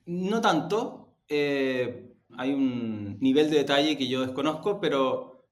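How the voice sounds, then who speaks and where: A man with a mid-pitched voice answers interview questions in a roomy, echoey space. He explains things conversationally, often pausing and feeling around for the right word. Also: expressive. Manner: hesitant, searching for words, explanatory. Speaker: media executive, interview guest.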